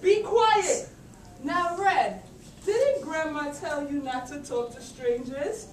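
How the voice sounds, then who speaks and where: An echoey, distant recording of a puppet policeman voiced by a woman. He speaks slowly and deliberately, a genial but grumbling authority figure in a children's show. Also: sits high. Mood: stern. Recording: distant echoey room with faint noise.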